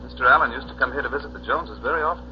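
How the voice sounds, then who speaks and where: An actor playing a polite, formal hotel desk clerk in a 1940s radio drama, proper and businesslike. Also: male voice, mid-range voice, rapid-fire, lightly acted. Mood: polite, brisk. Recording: dull, noisy 1940s radio recording.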